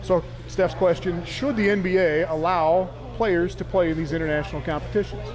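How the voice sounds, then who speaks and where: A man's voice: sits high, normal speed, crowd noise, poor audio. A man hosting a sports talk show from a sports bar. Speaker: panel show host. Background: music.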